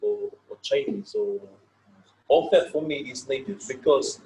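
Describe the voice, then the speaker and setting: A man from Fiji with a Fijian accent, a native Fijian speaker, giving an informal lecture. He pauses often, repeats words and checks in with his listeners. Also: a little high, huge pitch swings, mellow, a little hiss or hum, rough recording. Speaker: session leader.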